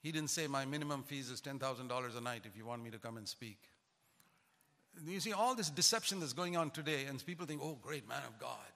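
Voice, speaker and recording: A man's voice: mid-range voice, lively intonation, brisk, bright, forceful tone, in a large hall. A man who is a pastor, preaching a sermon with emphatic, repetitive cadence.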